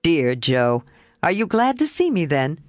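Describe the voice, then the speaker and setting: A woman reading aloud and voicing a mischievous, boyishly charming young man, lowering her voice in a playful, sheepish way. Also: very animated, a little slow, a little mellow, no echo.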